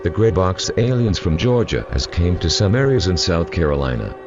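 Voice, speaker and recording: Deep male alert announcer, dull lo-fi sound. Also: very animated, moderate pace, music in the background. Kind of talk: scripted emergency warning readout.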